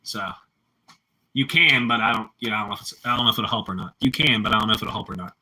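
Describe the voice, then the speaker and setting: Bright-voiced man with a Pittsburgh accent. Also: lowish voice, fast, slightly rough, poor audio. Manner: rambling, repeats himself, talks back to chat. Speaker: livestreamer chatting with viewers. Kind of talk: casual live-stream chat.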